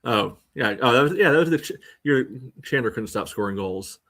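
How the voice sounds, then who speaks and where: A man co-hosting a casual soccer podcast, thinking out loud in a wry way. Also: medium pitch, expressive, brisk. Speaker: sports podcast co-host.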